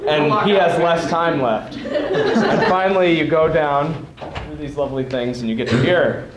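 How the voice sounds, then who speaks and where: A man's voice: on the high side, animated, measured pace, over a noisy crowd. A young man in his teens improvising a mock-instructional comic demonstration, step by step. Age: teenager. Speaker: student helper with time cards. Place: large room before an audience. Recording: echoey room, loud audience behind. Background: loud audience.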